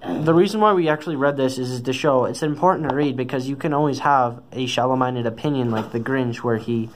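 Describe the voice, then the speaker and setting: A man's voice: mid-range voice, fairly quick. A man reading a children's story aloud, stumbling now and then over the words. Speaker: storybook reader. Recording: noisy amateur recording.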